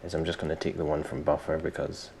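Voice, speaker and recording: Very deep, gravelly-voiced man teaching software. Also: level tone, fast, a little hiss or hum. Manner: informal, self-deprecating, thinking aloud. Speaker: tutorial presenter. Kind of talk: casual screen-recorded software tutorial.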